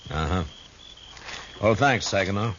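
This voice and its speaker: An American actor playing a shrewd, steady frontier marshal in a 1950s radio western, speaking firmly and deliberately. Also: male voice, fairly low pitch. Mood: firm, pressing. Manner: dry, deliberate, firm. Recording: dull, noisy old radio recording.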